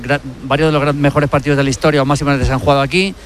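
A man's voice: fairly high pitch, flat delivery, rapid-fire, a little hiss or hum. A man giving casual football analysis from a stadium, often restarting his phrases. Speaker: football commentator.

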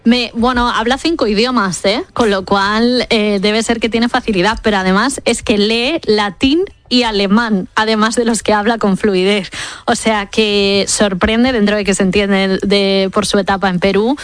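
A woman's voice: mid-range voice, fairly even intonation, normal speed. A woman comments casually on a radio panel. The sound is dry and free of room echo.

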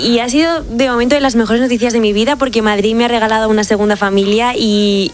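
A young woman contributing on a radio show, lively and talkative. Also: a little high. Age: young adult.

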